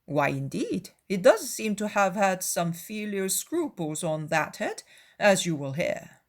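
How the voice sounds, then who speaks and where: A woman voicing a wry middle-aged gentleman father in an audiobook dramatization, measured and dryly ironic. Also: mid-range voice, big pitch swings. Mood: dry irony.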